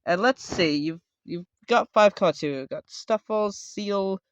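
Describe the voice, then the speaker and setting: A man with a high, smooth voice gives a casual, off-the-cuff tutorial. He talks loudly and wanders, often correcting himself. The sound is close and dry but dull and lo-fi. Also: unhurried, no echo. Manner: loud, rambling, self-correcting. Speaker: host of a card game tutorial video. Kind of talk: casual unscripted how-to explanation.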